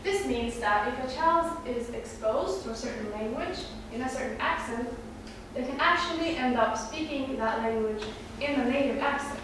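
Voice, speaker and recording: A woman's voice: high voice, animated, normal speed. A woman born in India, whose first language is Hindi, giving a persuasive class speech and putting questions to her classmates. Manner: addresses the audience with questions. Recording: echoey room from far off, faint noise.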